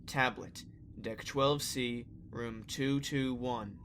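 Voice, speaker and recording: A man's voice: mid-range voice, fairly even intonation, takes it slowly, a bit bright, a little hiss or hum. A man playing an earnest, curious young space trainee in a sci-fi fan parody, talking in a casual, hesitant way. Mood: matter-of-fact.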